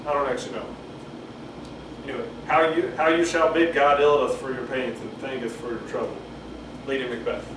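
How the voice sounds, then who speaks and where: A man doing a casual cold reading of a play, halting, repeating phrases and musing aloud between lines, recorded on a camcorder. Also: mid-range voice, fairly even intonation. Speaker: amateur reader. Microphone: camcorder at a distance. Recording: roomy, distant camcorder mic, loud noise.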